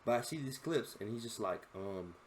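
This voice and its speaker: A man's voice: lowish voice, animated, moderate pace, a little hiss or hum. A 21-year-old man and content creator, giving casual, rambling reaction commentary full of slang and constant "bro.".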